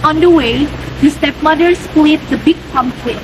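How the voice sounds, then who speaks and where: A woman's voice: sits high, moderate pace. A young woman reading scripted folktale narration as the storyteller. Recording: noisy background hum.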